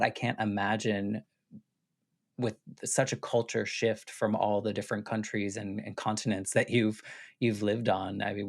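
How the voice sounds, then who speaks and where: An American man hosting a podcast, asking curious, chatty questions with lots of fillers. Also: medium pitch. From United States. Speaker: podcast host. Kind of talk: casual interview conversation.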